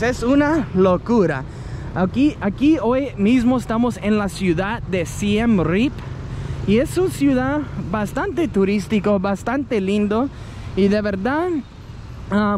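Chatty vlogger over street traffic. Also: male voice, high voice. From United States. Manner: chatty, self-correcting, heritage speaker searching for words. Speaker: travel vlogger. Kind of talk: casual walking vlog to camera. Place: city street outdoors in hot midday sun. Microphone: action camera at arm's length. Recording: outdoor traffic noise behind.

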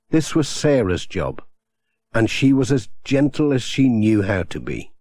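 A man narrating a children's storybook in a gentle, expressive way on a close studio mic. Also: fairly low pitch, dry recording. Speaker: audiobook narrator.

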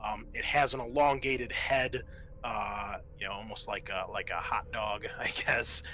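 A man's voice: on the low side, fairly even intonation, a little slow, pushed and bright, a little hiss or hum, low-quality recording. A man narrating a tabletop roleplaying game as game master, describing scenes in an informal, improvised, hesitant way.

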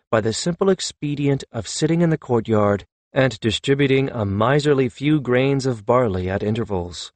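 A man's voice: mid-range voice, no echo. A man reading a fantasy novel aloud as an audiobook narrator.

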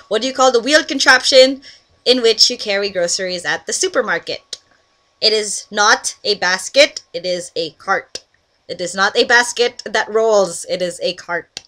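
Young woman, from Canada, giggly, chatty, bright voice, headset mic, small bedroom. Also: mid-range voice, unhurried. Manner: giggly, chatty, breaks off to scold friends on a call. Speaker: vlogger doing an accent meme. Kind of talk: casual webcam vlog. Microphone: headset microphone.

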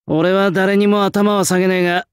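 An actor playing a proud young male swordsmith spirit in an anime-style game, talking in a rough, blunt, old-fashioned working-class way. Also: sits high, level tone, moderate pace, no room sound, studio quality, natural voice. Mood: confident, casual. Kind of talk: anime-style mobile game character lines.

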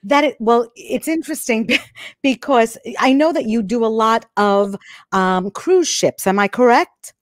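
A woman's voice: a little high, lively intonation, unhurried, no echo, studio quality. A middle-aged woman hosting a live online interview, asking questions in an enthusiastic, friendly way. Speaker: host. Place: studio with logo backdrop. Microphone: microphone close in front.

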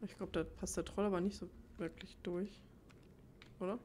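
A woman's voice: mid-range voice, steady tone, normal speed, mellow, a little hiss or hum. A woman giving casual gameplay commentary in a chatty, playful way, muttering asides to her viewers. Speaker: let's play host. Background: video game ambience.

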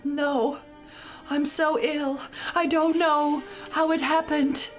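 A woman's voice: high voice, level tone, slow pace, soft background music. A woman voicing a vain, scheming young maiden in a comic puppet show, fretful, repetitive and melodramatic. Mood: feigned frailty.

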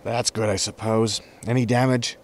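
A man's voice: on the low side, steady tone, normal speed, a little hiss or hum, natural voice. A man playing a sarcastic, casual, grumbling spaceship captain in a sci-fi comedy audio drama. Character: flippant, grumbling male starship captain.

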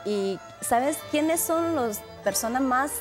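A woman's voice: sits high, expressive, slow, music in the background. Chinese-born woman, careful non-native speech. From China. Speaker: community guest. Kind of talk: TV studio interview. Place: TV studio. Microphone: handheld mic held by the host.

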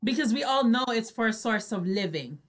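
A woman's voice: a little high, animated, fairly quick, a little hiss or hum. A woman hosting an online radio show, chatting casually and expressively in exclamations to her audience over a video call. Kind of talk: lively casual reaction chat.

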